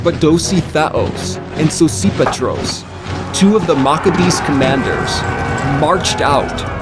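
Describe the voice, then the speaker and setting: A man narrating scripture in a solemn, deliberate, emphatic storytelling style, as in a dramatized audiobook. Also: fairly high pitch, expressive, over loud music.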